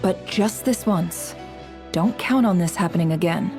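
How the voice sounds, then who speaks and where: A woman playing a shrewd, aloof intelligence boss in a video game, speaking in a cool, sardonic, deliberate way. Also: lowish voice, music in the background. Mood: reluctant. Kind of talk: English video game dub.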